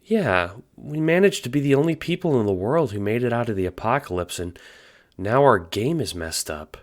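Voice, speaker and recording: A man's voice: medium pitch, sing-song, moderate pace, pitched above the performer's own voice, natural voice. A man voicing a hesitant teenage girl in an audio drama. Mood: uneasy.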